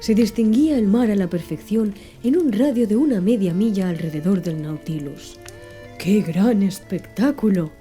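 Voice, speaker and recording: A woman narrating an audiobook in a soft, slow, hushed ASMR style. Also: medium pitch. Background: faint background music.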